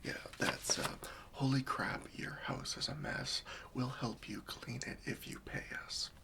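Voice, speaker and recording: A man playing a gentle, patient, faintly wry professional cleanup worker in a softly whispered, hesitant ASMR roleplay. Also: mid-range voice, fairly even intonation, measured pace, gravelly. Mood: polite, introductory. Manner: soft, hesitant, soothing, politely tactful.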